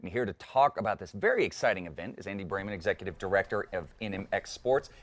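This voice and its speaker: Male morning TV host, 30s, lower voice, upbeat and chatty, studio lapel mic. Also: expressive. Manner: upbeat, quick to fill gaps with "mm-hmm". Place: TV studio set, indoors.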